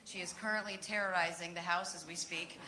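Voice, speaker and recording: A hoarse woman in her thirties telling chatty, humorous family stories to a crowd at a campaign event. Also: fairly low pitch, steady tone, a little fast, background noise. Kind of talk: casual storytelling to a crowd.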